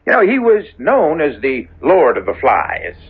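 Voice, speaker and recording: An actor playing a devil-possessed young minister's son in a 1970s radio horror drama, with a suave, teasing, sardonic delivery. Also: male voice, a little high, huge pitch swings, a little slow, full character voice. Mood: amused, lecturing. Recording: dull, noisy old radio broadcast.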